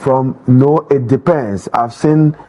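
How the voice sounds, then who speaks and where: A man with a Ghanaian English accent, an education official answering interview questions in a measured, explanatory way with filler pauses. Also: medium pitch, dark tone.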